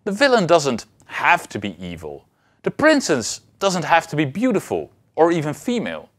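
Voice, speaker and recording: Man lecturing with very animated intonation. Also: on the high side, normal speed. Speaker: university philosophy lecturer. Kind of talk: explanatory lecture to camera.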